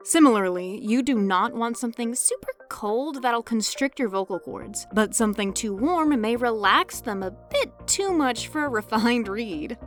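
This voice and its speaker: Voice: mid-range voice, a bit bright. A woman narrating a scripted tutorial as host, with a playful, cheeky manner and joking asides. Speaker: host, indie voice actor giving tutorial. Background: background music.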